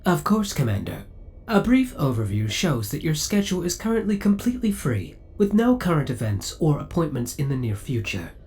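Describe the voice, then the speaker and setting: A man's voice: on the high side, big pitch swings, normal speed, a little mellow. A man playing a devoted, possessive spaceship AI in an ASMR audio roleplay, speaking in a formal, courteous and precise way. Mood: helpful, informative. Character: devoted, possessive male spaceship AI, politely obsessive.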